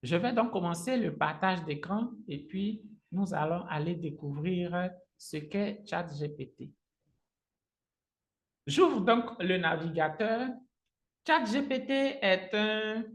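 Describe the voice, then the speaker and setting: A man whose native language is Agni, teaching a live software tutorial step by step as an online trainer, often repeating his phrases. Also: high voice, a little slow. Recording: roomy, echoing sound.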